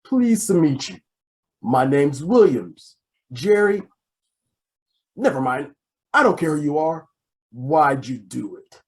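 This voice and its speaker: A man playing a brusque, exasperated, wisecracking police detective in an old-time radio comedy mystery, gruff and sardonic. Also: a little high, sing-song, takes it slowly, long pauses. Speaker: voice actor.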